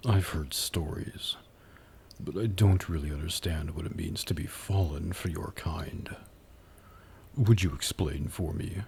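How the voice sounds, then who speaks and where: ASMR demon buyer, acted by a man: very deep, gravelly, mocking and flirtatious, predatory, breathy close-mic delivery. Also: a little mellow, a little hiss or hum, exaggerated voice. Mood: curious, coaxing. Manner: slow, taunting, condescending purr. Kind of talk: ASMR roleplay acting.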